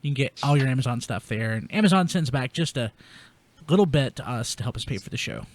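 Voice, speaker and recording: A man's voice: mid-range voice, animated, fast, no room sound. A man hosting a podcast in casual, informal chat with an enthusiastic manner. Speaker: podcast host.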